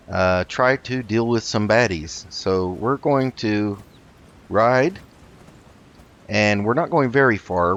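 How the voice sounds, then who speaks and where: A man's voice: lowish voice, fairly bright tone, muffled recording. A man giving casual video game commentary, thinking aloud in a hesitant way as he plays. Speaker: host of a video game roleplay let's play.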